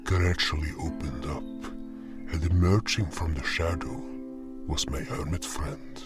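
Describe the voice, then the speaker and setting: A man narrating and playing a gruff, impatient beast hunter in a fan audio drama. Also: very deep voice, over music. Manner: slow deliberate storytelling, louder and excited in dialogue. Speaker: narrator and lead character.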